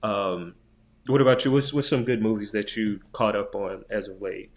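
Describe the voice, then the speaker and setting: A man's voice: on the low side, normal speed. A man from the United States co-hosting a podcast in a laid-back, rambling, casual way. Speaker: podcast co-host. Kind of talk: casual chat.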